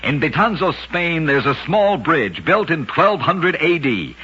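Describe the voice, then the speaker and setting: Man, vintage radio narrator, slightly high voice, lively intonation, dramatic scripted storytelling, lo-fi old recording. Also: a little slow, slightly rough.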